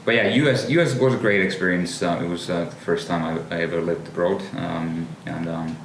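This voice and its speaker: Young guy, real low voice, easygoing podcast chat, lots of ums, kinda far off in an echoey room. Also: fairly quick. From Finland. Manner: relaxed, thoughtful, many fillers and restarts. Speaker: guest. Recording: roomy, distant mic, faint noise.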